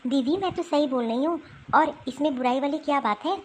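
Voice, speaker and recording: Wronged cartoon daughter-in-law, played by a woman: high-pitched, pleading yet firm, defending herself. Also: a little fast, a little hiss or hum, lightly acted. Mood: protesting. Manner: pleading, protesting, explanatory. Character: wronged young wife, honest, firmly defending herself. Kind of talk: Hindi cartoon family drama acting.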